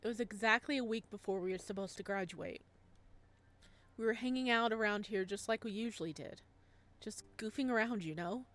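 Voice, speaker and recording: A woman's voice: medium pitch, a little fast, a bit bright, natural voice. A woman voicing a tender, guilt-ridden young man in a visual novel, speaking in an earnest, hesitant way. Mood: somber, reminiscing. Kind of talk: visual novel dialogue read aloud.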